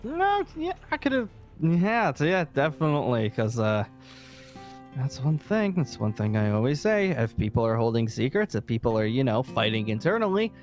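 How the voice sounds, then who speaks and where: A man playing a weary, irritable survivor leader in an improvised zombie game roleplay, talking in a halting, rambling, emphatic way. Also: mid-range voice, big pitch swings, faint music behind, lightly acted. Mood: agitated, exasperated. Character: weary, irritable safe-haven leader secretly seeking a zombie cure.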